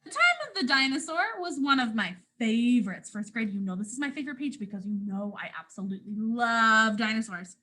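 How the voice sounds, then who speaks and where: A woman's voice: fairly high pitch, big pitch swings, a little fast, few pauses, pushed and bright. A woman with a North American accent reads a picture book aloud to young children as a teacher, expressively, with simple explanations along the way. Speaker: first grade teacher.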